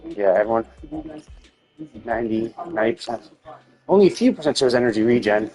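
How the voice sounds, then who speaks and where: A man gives casual live commentary on a game stream, thinking out loud in a halting way. His voice sits at an average pitch for a man. Music plays behind him as he talks. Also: lively intonation.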